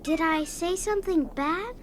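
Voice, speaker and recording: A woman's voice: very high voice, normal speed, noisy background. A woman acting an earnest, anxious young boy, a devoted son, in a radio drama. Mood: worried, tender.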